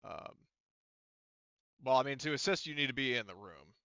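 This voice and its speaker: A man acting as game master, narrating a tabletop game casually and haltingly as he thinks aloud. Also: medium pitch, expressive, bright, forceful tone, clean tone, dry recording, lo-fi sound.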